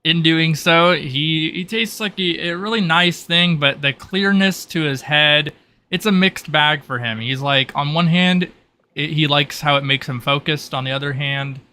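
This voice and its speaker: A man's voice: a little high, pushed and bright, a little hiss or hum. A man running a tabletop roleplay as game master, improvising narration and talking with his players.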